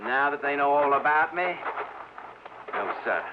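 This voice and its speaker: A man's voice: a little high, expressive, measured pace. Volatile, menacing western gunman. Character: menacing, sneering male killer in a western. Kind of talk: 1950s radio drama acting. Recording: muffled, noisy old radio broadcast.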